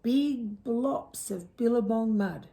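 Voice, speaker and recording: A woman's voice: on the high side, slow, warm tone, echoey room. A woman voicing a friendly male platypus in a storybook, giving advice in an emphatic way. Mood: eager, advising.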